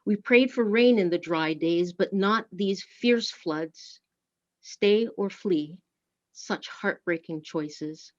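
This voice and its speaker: A woman reads solemnly at a very slow, deliberate pace. Her voice sits at a middling pitch, and the recording is slightly dull. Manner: measured, deliberate phrasing. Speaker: worship service speaker and reader. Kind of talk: solemn scripted poetry and litany reading.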